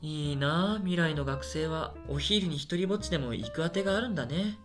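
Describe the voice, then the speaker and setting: Radio drama role for a man: timid, mild male student, deadpan, light voice with animated intonation, guitar music behind. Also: on the high side, a little fast, lightly acted. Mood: musing. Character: shy lonely male university student, soft-spoken, quietly scheming. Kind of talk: radio drama dialogue and first-person narration.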